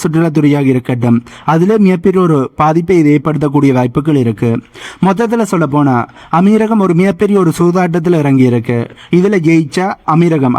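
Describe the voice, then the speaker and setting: A man's voice: on the high side, a little mellow. A man hosting a news explainer, delivering scripted commentary in an emphatic, explanatory way. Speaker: host of a news explainer channel.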